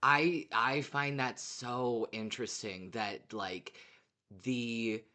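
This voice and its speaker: A podcast co-host chatting casually about a show, in a halting way with frequent false starts. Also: mid-range voice, fairly even intonation, bright, forceful tone. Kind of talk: casual fan discussion podcast.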